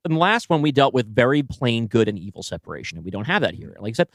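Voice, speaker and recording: A man co-hosting a podcast, brainstorming story ideas in a casual, halting conversation as he thinks aloud. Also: medium pitch, animated, no room sound, clean studio sound. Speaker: podcast co-host and story writer.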